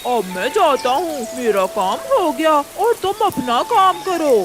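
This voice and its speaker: A woman's voice: high-pitched, big pitch swings, unhurried, background noise. A woman voicing a sly, boastful male crow thief in a dramatic cartoon style. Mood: breezy. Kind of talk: animated moral story character acting.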